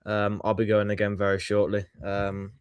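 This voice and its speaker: A man with a low, smooth and resonant voice talks in a casual football chat. He speaks hesitantly, filling gaps with ums. The sound is dry and close. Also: completely flat delivery, moderate pace, dry recording. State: sore from boxing. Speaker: co-host.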